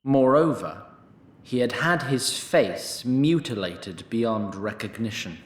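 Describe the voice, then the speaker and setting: A man narrating a scripted fantasy story in a measured, formal storytelling style. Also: medium pitch, animated, reverberant. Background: music.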